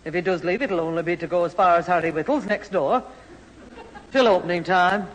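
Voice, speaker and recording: A woman playing an exasperated middle-aged mother in a 1970s radio sitcom, brisk and scolding. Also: lowish voice, lightly acted. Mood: dismissive. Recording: echoey, hissy radio recording with audience. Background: studio audience.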